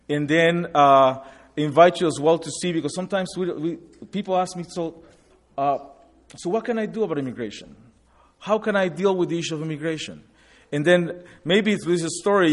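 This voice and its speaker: A man in his forties, from Guatemala, giving a personal testimony at a church event, stopping and restarting often. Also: fairly high pitch. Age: 40s. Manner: halting, with many restarts and fillers.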